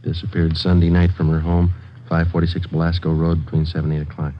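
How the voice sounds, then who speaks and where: Man playing deep, deadpan radio police detective. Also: fast talker, close to an everyday voice. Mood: dry, probing. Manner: clipped, terse, deadpan. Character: laconic, businesslike police detective sergeant narrating his case. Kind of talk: 1940s radio acting, first-person narration. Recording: muffled, faintly noisy 1940s radio recording.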